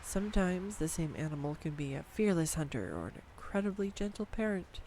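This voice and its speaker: A woman voicing a nerdy, affectionate girlfriend who rambles excitedly about animal facts in an intimate audio roleplay. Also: lowish voice, brisk, a little hiss or hum. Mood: tender. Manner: enthusiastic, rambling, tangent-prone, giggly. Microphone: studio USB microphone.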